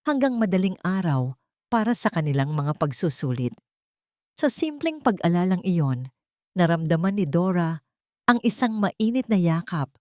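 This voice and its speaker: A woman narrates a scripted love drama in a fairly low voice. Her delivery is solemn and sentimental, and the sound is dry with no room around it. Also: darkish tone, no room sound. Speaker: storyteller, narrator.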